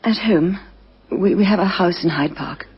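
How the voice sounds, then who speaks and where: A shaken, well-off widow in a vintage radio drama. Her voice is very husky and breathy, her speech halting, on a dull old recording. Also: on the low side. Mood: shaken, subdued. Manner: halting, hesitant.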